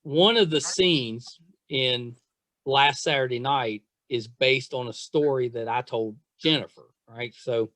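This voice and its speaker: A man's voice: medium pitch, takes it slowly, fairly bright tone, no echo. A man hosting a casual interview podcast, chatting in an effusive, rambling, self-deprecating way. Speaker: podcast host.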